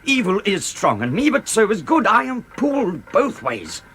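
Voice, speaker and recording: A man's voice: high voice, wildly swooping pitch, normal speed, a bit bright, slight background noise, heavily acted. An actor playing a tormented, guilty murderer in his thirties, anguished yet defiant, speaking earnestly and declamatorily in a radio drama. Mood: anguished pleading. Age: 30s. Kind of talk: dramatic British radio play acting.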